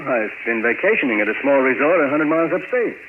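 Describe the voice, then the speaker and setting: An actor playing a smug, coldly scheming father in an old radio crime drama, suave and deliberate. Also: mid-range voice, lively intonation, natural voice. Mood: feigned nonchalance. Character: smug, coldly scheming father who murders to free his son. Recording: tinny, very noisy old radio broadcast.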